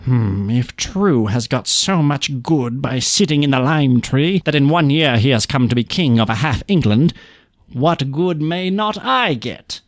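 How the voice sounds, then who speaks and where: A man voicing a wretched, scheming beggar in a folk tale, with a cringing, drawn-out delivery. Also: mid-range voice, lively intonation, dry recording, studio quality. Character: wretched ragged beggar brother, scheming and envious.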